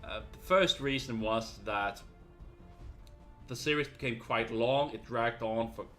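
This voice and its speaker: A man's voice: medium pitch, a little slow, a bit bright, faint music behind. Earnest man chatting. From Denmark. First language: Danish. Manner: earnest, rambling with frequent fillers. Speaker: gaming channel host. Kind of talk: casual talk to camera.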